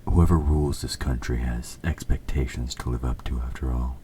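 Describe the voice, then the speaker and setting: Evil fantasy king for audio drama, played by a man: extremely deep, dark tone, measured courtly diction, smooth-talking with quiet menace. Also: fairly even intonation. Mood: cool, calculating. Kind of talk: scripted audio roleplay addressed to the listener. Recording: faint background noise.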